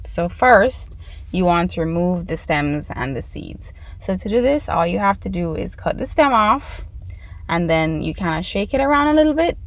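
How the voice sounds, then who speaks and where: A woman's voice: lowish voice, gravelly, dry recording. A woman with a Jamaican accent hosting a casual cooking tutorial in her home kitchen, chatting and explaining each step to her viewers. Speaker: cooking channel host.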